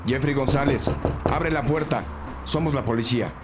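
An actor playing a stern, intimidating police officer in a crime audio drama. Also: male voice, mid-range voice, normal speed, close to an everyday voice. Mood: commanding. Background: music bed.

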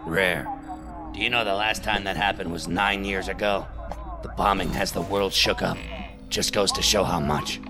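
A gruff American police detective in an audio drama, low in pitch and bluntly sardonic. He needles and taunts like a cynical cop. Loud music runs behind the voice. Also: fairly even intonation, moderate pace. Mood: grumbling, cynical.

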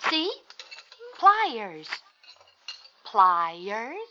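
A woman's voice: medium pitch, very animated, extremely slow, sharp tone, exaggerated voice. An actress playing an affectionate, easily flustered young American mother in an old-time radio drama. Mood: patient coaxing. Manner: motherly coaxing and chiding. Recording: dull, noisy old radio recording.

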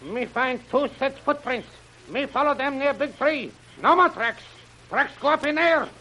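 A man acting an excitable, superstitious native tracker who serves a villain in broken pidgin English, in old radio adventure style. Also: very high voice, measured pace, lo-fi sound, heavily acted. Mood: eager, insistent. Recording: noisy vintage radio recording.

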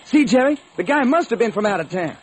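An actor playing a wisecracking, exasperated police homicide lieutenant in an old radio detective drama, with a husky, slangy, grumbling delivery. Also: male voice, extremely high pitch, lively intonation, fast, slight background noise. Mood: wry, speculative.

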